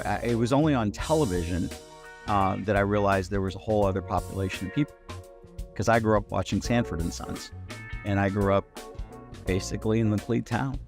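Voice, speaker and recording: A middle-aged man from India with an American Midwestern accent, reflectively telling his story as an interview guest, haltingly repeating words. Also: lowish voice. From India, then St. Louis, United States. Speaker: doctor, podcast guest.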